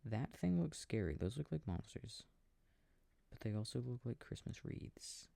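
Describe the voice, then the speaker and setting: Soft creaky boyfriend guy acting it out, mellow and lowish, mumbling game commentary for a sleep aid roleplay. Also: very animated, a little slow, dry recording, exaggerated voice. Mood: absorbed, muttering. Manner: hushed, rambling self-talk, often drifting into whispers. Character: affectionate, easily startled boyfriend gaming beside sleeping partner.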